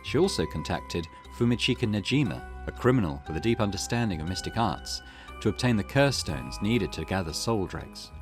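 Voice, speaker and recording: Deep-voiced man reading a game aloud. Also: expressive, a little fast. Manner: reads game text aloud, breaking off for casual asides. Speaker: gaming channel host. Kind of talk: reading a visual novel aloud with commentary. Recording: game music playing behind.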